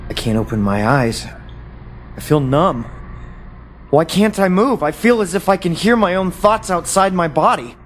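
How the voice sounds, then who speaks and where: An actor in an audio play as a bewildered, sarcastic secret agent who talks in an agitated, demanding way. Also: male voice, sits high, expressive, moderate pace, a little hiss or hum. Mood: panicked, confused. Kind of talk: audio drama acting.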